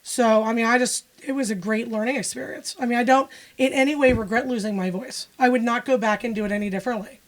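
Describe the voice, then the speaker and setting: A woman's voice: on the high side, fairly even intonation, fast, hardly any pauses. A woman from Pennsylvania, a musician and music teacher, speaking frankly and wryly in a casual interview. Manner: frank, matter-of-fact, wry.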